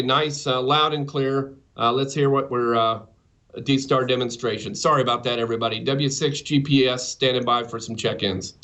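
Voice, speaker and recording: A man's voice: medium pitch, a bit bright, low-quality recording. A man giving a casual live demonstration as a presenter, enthusiastic and informal with his audience. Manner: enthusiastic, informal, addresses listeners as folks. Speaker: presenter of a ham radio segment.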